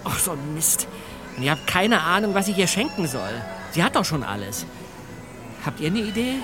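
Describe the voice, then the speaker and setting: A man's voice: high voice, sing-song, moderate pace. A teenage boy detective in an audio drama. He is exasperated and sarcastic, with a very mobile, exclamatory delivery over a music bed. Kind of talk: German audio drama dialogue. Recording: music behind the voice.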